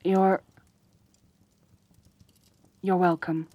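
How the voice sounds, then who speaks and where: A woman's voice: fairly low pitch, level tone, extremely slow, no room sound, highs cut off. A woman voicing a lonely, possessive but caring centaur girl in a roleplay audio drama, speaking in a hesitant, stammering, earnest way. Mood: soft, tentative. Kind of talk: roleplay ASMR audio drama, intimate one-sided dialogue.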